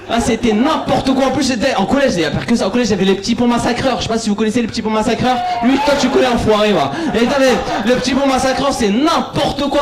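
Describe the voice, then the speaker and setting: A young man doing live stand-up, slangy and repetitive, bantering with the audience. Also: very high-pitched. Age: young adult. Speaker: stand-up comedian. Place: small bar comedy club. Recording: live club with loud audience. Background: loud crowd reactions.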